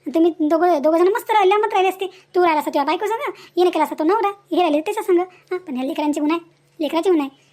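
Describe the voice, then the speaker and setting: A woman's voice: very high-pitched, racing, darkish tone. A woman voicing a pleading, scolding motherly village neighbour in a cartoon drama, insistent and repetitive, with a rural Maharashtrian Vidarbha accent. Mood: reasoning, insistent. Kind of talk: Marathi cartoon moral drama dialogue.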